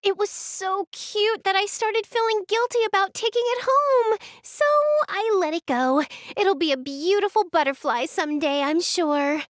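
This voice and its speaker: Very high-pitched carefree game girl. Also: unhurried, hardly any pauses, no echo, studio quality. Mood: gentle, earnest. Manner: carefree, giggly, rambling storytelling. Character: cheerful, dreamy bug-loving young girl in a video game. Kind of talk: English game voice acting.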